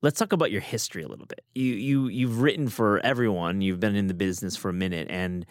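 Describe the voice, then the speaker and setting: A man with a fairly low voice hosts the conversation, eager and loose, starting his sentences over and over and padding them with "like". The sound is close and dry. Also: fast talker, dry recording. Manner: enthusiastic, rambling, many restarts and "like". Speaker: podcast host. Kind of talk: conversational interview.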